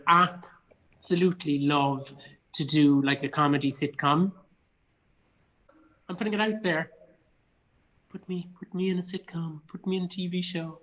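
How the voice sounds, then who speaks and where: A man's voice: a little high, fairly even intonation, measured pace, long pauses, bright, forceful tone, low-quality recording. A man with a Cork Irish accent, chatting casually as an interview guest in an emphatic way, repeating phrases for stress. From Cork, Ireland. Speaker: interview guest, stage actor. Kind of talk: casual chat. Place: remote online video chat.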